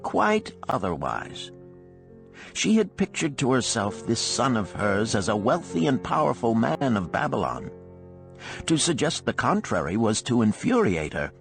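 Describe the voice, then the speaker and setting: A man's voice: mid-range voice, wildly swooping pitch, unhurried, gravelly, soft background music, exaggerated voice. A man voicing a shrewd older gold lender in a storybook, speaking in an archaic, emphatic, declamatory way. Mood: exasperated storytelling.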